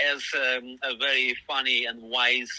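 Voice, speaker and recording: A middle-aged man whose first language is Russian talks through a question at length, circling back with fillers and restarts. His voice sits at a middle pitch with a sharp, bright edge. The recording is close and very dry. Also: level tone, measured pace, dry recording, rough recording. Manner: thoughtful, rambling, many fillers and restarts. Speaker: musician guest. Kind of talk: casual interview answers.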